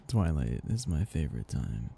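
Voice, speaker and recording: A man voicing a seductive, possessive vampire master in an ASMR roleplay, breathy and gravelly, speaking in a slow, gently domineering, teasing way. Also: rumbling low, huge pitch swings, full character voice. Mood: tender, possessive. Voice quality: breathy, gravelly, often dropping into whispers. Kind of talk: ASMR roleplay, intimate scripted monologue.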